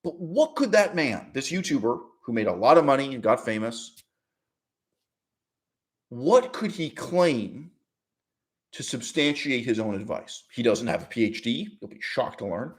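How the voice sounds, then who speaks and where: A man's voice: mid-range voice, sing-song. Emphatic, sardonic man arguing his case. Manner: emphatic, sardonic, rhetorical questions, deliberate repetition. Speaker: YouTuber giving commentary. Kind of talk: argumentative commentary with read-out quotes.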